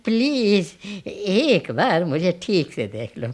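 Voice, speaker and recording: A man's voice: sits high, sing-song, slow pace, full character voice. An actor playing a sick, self-centred old father in a radio play, with a quavering voice and a wheedling, complaining manner. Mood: plaintive. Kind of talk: Hindi radio play acting.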